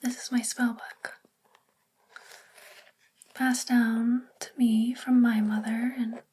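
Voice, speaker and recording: A woman playing a sweet, bookish witch librarian in a soft spoken ASMR roleplay, gentle and soothing in a breathy, whispery voice. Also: on the high side, flat delivery, slow pace, exaggerated voice. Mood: kind, reassuring.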